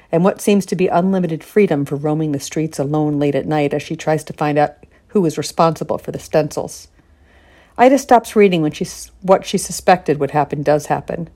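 Female author-narrator for literary fiction, very low pitch, dark mellow tone. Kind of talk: literary audiobook-style reading.

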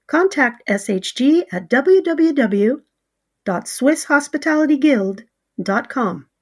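A woman's voice: high-pitched, takes it slowly. Woman reading a sponsor message. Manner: even, scripted read. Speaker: sponsor announcer.

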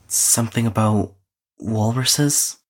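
A woman voices a tired, withdrawn young man in an audio drama. The voice is very deep and husky, trudging slowly and hesitantly through short replies. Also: a little mellow, exaggerated voice. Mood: flat, puzzled. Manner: hesitant, subdued. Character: weary, hesitant, reserved Nikolai, young male husk of a person.

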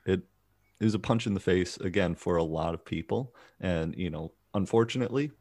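A man's voice: sits low, brisk, darkish tone, no echo, clean studio sound. A man hosting a podcast, speaking hesitantly and filling his sentences with "you know" and "um". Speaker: podcast host. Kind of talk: casual podcast interview.

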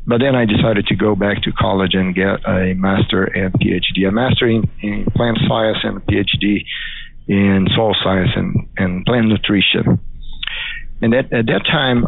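A man's voice: fairly low pitch, gravelly, no echo. A middle-aged man from Brazil with a Brazilian Portuguese accent, speaking English as a second language and answering interview questions with many fillers and restarts. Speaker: guest, crop scientist. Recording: dry phone-like audio.

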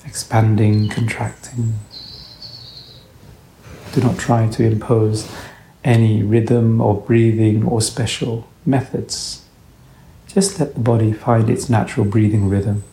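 Slow, low-voiced man guiding meditation. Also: flat delivery. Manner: soothing, gentle instruction in short phrases. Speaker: meditation guide. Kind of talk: guided meditation narration. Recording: roomy echo, faint background noise.

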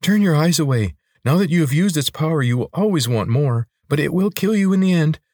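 A man performs a little male lizard servant for an audiobook. The voice is pitched up and very lively, eager and fretful. It speaks with formal, humble deference to its master, recorded close and dry. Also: a little fast, no echo. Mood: anxious warning. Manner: formal, deferential phrasing addressing a master as sir. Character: small eager loyal male lizard creature, servile and fretful. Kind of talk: audiobook character voice.

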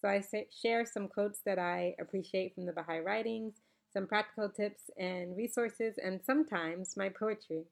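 A woman's voice: medium pitch, normal speed, creaky. A woman from the Bahamas hosting her own podcast from home, talking in an earnest, reflective, conversational way. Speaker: podcast host. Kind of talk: casual solo podcast talk with a read-aloud quote. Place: home, recorded around napping children.

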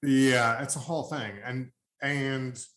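A man's voice: medium pitch, fairly even intonation, takes it slowly, a bit bright. Earnest older man in an echoey room. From Long Island, New York. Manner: rambling, self-correcting, earnest. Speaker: podcast host. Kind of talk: casual interview chat.

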